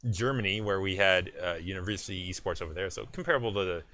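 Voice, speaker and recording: Canadian male co-host, bright and somewhat low, casual results rundown. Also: fast talker. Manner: reads results, frequent restarts. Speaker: esports show co-host. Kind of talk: casual esports recap chat.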